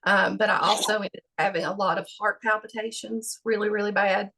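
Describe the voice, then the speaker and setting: A woman with a gravelly voice answering interview questions about her health, halting and full of fillers. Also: medium pitch, fairly even intonation, sharp tone, a little hiss or hum, poor audio. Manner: halting, many fillers and restarts. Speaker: patient guest.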